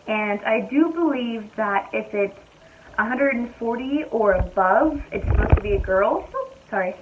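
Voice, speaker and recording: Slightly raspy, chatty young woman. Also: a little high, dull audio, a little hiss or hum. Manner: chatty, easygoing, thinks aloud with fillers. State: hoarse. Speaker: vlogger. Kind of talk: casual chat to camera. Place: at home, indoors.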